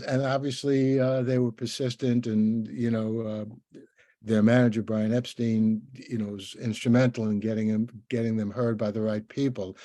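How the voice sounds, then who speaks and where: Older man interviewer, mellow dry voice. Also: medium pitch, no room sound. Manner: hesitant, rambling, repeats words. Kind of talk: conversational interview with musings.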